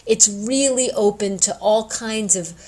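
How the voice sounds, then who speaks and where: A woman giving an informal instructional talk as a teacher, conversational and emphatic, stressing key words deliberately. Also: mid-range voice, warm tone. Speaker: education instructor addressing student teachers.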